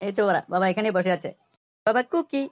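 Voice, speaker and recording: Man doing a fast, cheeky cartoon son. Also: mid-range voice, pitched above the performer's own voice, natural voice. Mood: cheeky, demanding. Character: cheeky, demanding young son of a village household.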